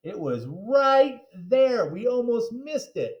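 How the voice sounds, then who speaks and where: A man with a high voice reads a children's story aloud. His delivery swings widely in pitch, playful and dramatic, and he drops in chatty asides like someone reading to a class of kids. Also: very animated, slow pace, reverberant, lo-fi sound.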